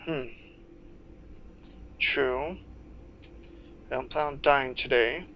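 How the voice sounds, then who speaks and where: Gravelly man commentating over a game, noisy. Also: mid-range voice, fairly even intonation, dull audio. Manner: halting, thinking aloud. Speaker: video game player and commentator. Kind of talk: casual playthrough commentary.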